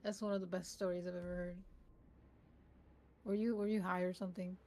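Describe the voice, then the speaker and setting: A woman's voice: lowish voice, level tone, fairly quick, a little mellow. A woman whose first language is not English, chatting casually with friends on a gaming stream, giggling often and trailing off mid-thought.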